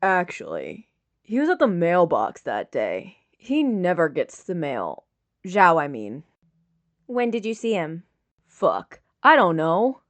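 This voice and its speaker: A female reader plays a protective young man in a fiction audiobook. He is worried and plain-spoken, with a fairly low, subdued voice. The delivery is very slow and casual. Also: wildly swooping pitch, no echo, heavily acted. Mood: uneasy.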